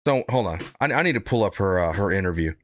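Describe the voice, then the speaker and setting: A man's voice: low voice, animated, fast. A man hosting casual political reaction commentary, blunt and profane, rambling with frequent "right?" tags.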